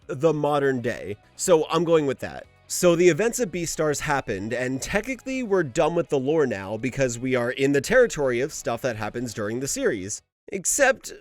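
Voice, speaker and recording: A man hosting an anime lore video, delivering scripted commentary in an emphatic way. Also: on the high side. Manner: emphatic, exasperated asides, swearing. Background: background music.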